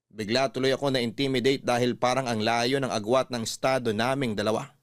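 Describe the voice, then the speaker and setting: A man's voice: mid-range voice, clean studio sound. Male storyteller with echoing voice. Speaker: radio drama narrator. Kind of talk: scripted first-person story narration.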